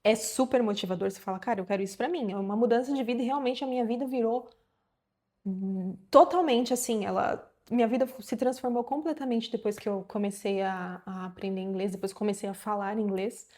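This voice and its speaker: A woman's voice: medium pitch, brisk, a little mellow. A woman in her thirties from Brazil, talking casually to camera in an encouraging, chatty way with many fillers. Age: 30s. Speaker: vlogger.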